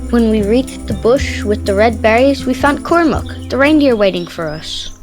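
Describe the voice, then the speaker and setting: A performer playing a gentle, polite young Irish boy in a children's audio drama, speaking with earnest care. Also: medium pitch, expressive, moderate pace, over music, natural voice. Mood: relieved.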